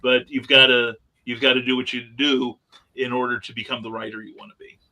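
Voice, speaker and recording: Bright-voiced male writer on a panel. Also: mid-range voice, flat delivery, brisk, low-quality recording. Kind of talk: casual panel chat.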